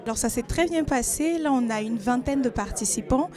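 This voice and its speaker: A woman with a somewhat high-pitched voice speaks as a coach. She explains what her workshop offers and encourages people to sign up, in promotional interview style. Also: fairly quick, crowd noise. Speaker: coach running a masterclass.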